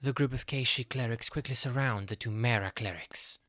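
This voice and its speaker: A man's voice: mid-range voice, normal speed, sharp tone, dry recording. A man narrating a scripted fantasy audio drama in a storytelling style.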